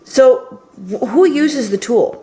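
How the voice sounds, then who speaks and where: A woman's voice: high-pitched, animated, slow pace, warm tone. A woman from Norway whose first language is Norwegian, hosting an interview and asking eager, curious questions. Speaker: podcast host. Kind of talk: interview host chat.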